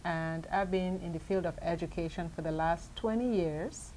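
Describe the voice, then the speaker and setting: Middle-aged woman from cameroon, lowish voice, earnest and methodical, faint hum behind. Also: moderate pace, dark tone, poor audio. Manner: earnest, methodical, explains point by point. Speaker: guest educator. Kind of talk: interview answers.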